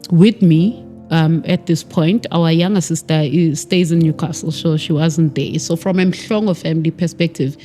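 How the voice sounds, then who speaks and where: Low-voiced South African woman, reflective. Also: steady tone, warm tone, faint music behind. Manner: measured, reflective, with frequent pauses and restarts. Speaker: podcast guest. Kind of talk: personal storytelling interview.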